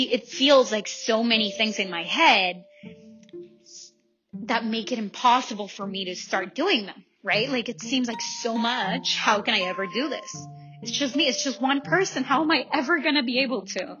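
A young woman with a fairly high, very bright voice thinks out loud in a casual monologue. She is informal and questions herself as she goes, often checking for agreement. The recording is a little dull, with music underneath. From El Salvador. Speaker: vlogger. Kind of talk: casual, reflective monologue to listeners.

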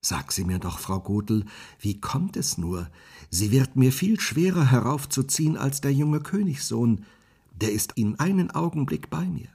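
A man voicing a naive young maiden in a fairy tale, soft and earnest. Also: mid-range voice, big pitch swings, a little slow, creaky, slightly rough, voice pushed up, full character voice. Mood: wondering.

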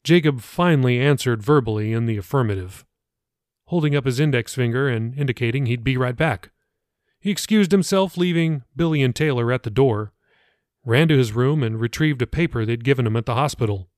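A man's voice: mid-range voice, brisk, no room sound. Male audiobook narrator, dry close sound. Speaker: author reading his own novel, podcast host.

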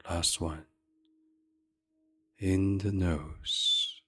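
An extremely unhurried man speaks in a soft, breathy near-whisper, guiding listeners toward sleep. His delivery stays flat and even, with long gentle pauses in an ASMR meditation style. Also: deep voice. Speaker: meditation podcast host.

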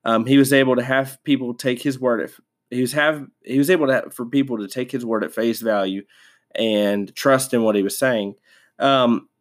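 A man hosting a devotional podcast, stuffed up from a sinus infection, talking casually with many fillers and restarts. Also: medium pitch. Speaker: podcast host.

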